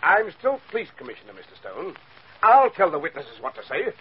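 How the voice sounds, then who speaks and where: A stern, impatient police commissioner in a 1940s radio drama. The voice is husky and on the high side, gruffly lively, on a dull old recording. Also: male voice, fairly quick, exaggerated voice. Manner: gruff, interrogating.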